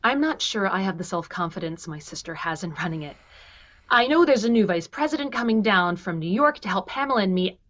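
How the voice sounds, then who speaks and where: A woman's voice: medium pitch, expressive, a little fast, a bit bright, dull audio. A woman playing an earnest, unsure heiress in an online table read of a stage musical, recorded over a video call. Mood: unsure, wistful. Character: earnest, unsure adult banker's daughter.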